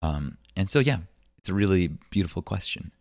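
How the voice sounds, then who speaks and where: Deep-voiced man, reflective podcast host. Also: animated, brisk, darkish tone, dry recording, studio quality. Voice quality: deep baritone. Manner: reflective, earnest, with many filler ums and likes. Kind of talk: solo podcast monologue. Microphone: podcast microphone.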